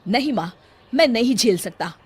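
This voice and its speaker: A woman voicing a hot-tempered, exasperated adult son and husband in a family story, scolding in a sharp, loud manner. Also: fairly high pitch, lively intonation, normal speed, slightly rough, pitched above the performer's own voice. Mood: fed up.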